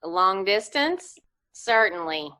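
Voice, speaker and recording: A woman playing a polite, formal long-distance telephone operator in an old-time radio drama, speaking in a measured, official way. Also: medium pitch, very animated, sharp tone, slight background noise, full character voice. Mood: formal, businesslike.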